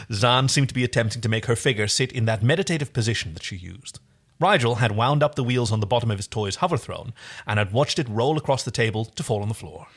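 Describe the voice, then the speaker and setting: Male co-host, mid-range voice, animated swings in pitch, informal story narration. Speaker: podcast co-host reading fan fiction aloud. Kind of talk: story reading with casual chat.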